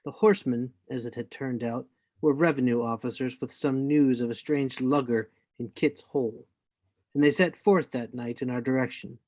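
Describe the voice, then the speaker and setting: A man's voice: mid-range voice, fairly even intonation, moderate pace, darkish tone, close to an everyday voice. A man playing a grown adventurer who narrates his own story in scripted first person for a radio play. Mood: storytelling. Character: grown Jim Hawkins recounting his adventure.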